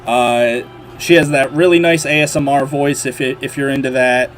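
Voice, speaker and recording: A man's voice: medium pitch, fairly even intonation, moderate pace, slight background noise. A man with a nasal voice hosting a livestream, chatting casually and rambling with lots of fillers and restarts. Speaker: livestream host. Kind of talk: casual livestream chat while modding.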